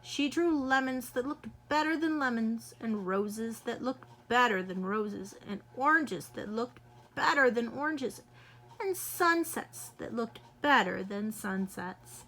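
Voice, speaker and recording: A woman reading a children's picture book aloud in an expressive, lively way. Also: a little high, takes it slowly, poor audio. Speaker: children's storyteller.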